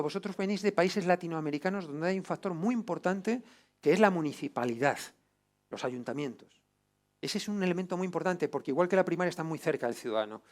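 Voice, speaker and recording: A man with a Castilian accent from Spain lecturing informally, with colloquial emphasis and frequent tag questions to the group. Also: a little high. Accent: Castilian Spanish. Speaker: lecturer, doctor.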